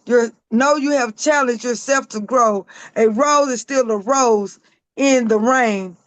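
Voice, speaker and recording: Raspy woman reading her poetry. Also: a little high, slow, no echo. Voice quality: raspy, hoarse. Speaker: author and guest.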